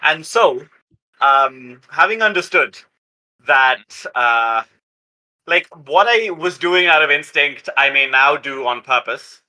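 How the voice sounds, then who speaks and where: A man playing a tabletop roleplaying game, talking through his moves casually but with deliberate, somewhat formal phrasing. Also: fairly high pitch, animated, pushed and bright, low-quality recording.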